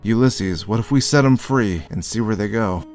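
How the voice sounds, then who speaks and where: Audio drama lead: man playing a haunted, sardonic male drifter-narrator, low-pitched, brooding, over background music. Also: moderate pace, a little mellow, lightly acted. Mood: uneasy, sardonic. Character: haunted, gruff male drifter narrating dark horror adventure. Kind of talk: first-person dramatic narration with dialogue.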